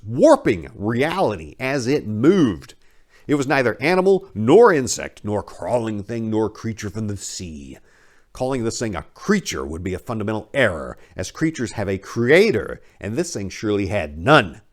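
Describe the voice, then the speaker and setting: A man narrating a dark horror story as a dramatic reading, with theatrical, intense and deliberate phrasing. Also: lowish voice.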